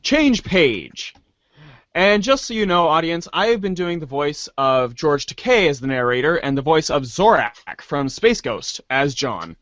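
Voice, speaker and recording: A man's voice: fairly high pitch, very animated, measured pace, a bit bright, no echo. A man hosting and narrating an amateur reader's theater, in a muffled lo-fi recording. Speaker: host and narrator.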